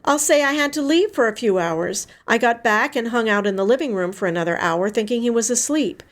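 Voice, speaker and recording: A woman's voice: fairly high pitch, expressive, fairly quick, pitched above the performer's own voice, natural voice. A woman voicing a grieving, protective older sister in an audiobook story, emotional and emphatic in her delivery. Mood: firm, planning. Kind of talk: dramatic audiobook character dialogue.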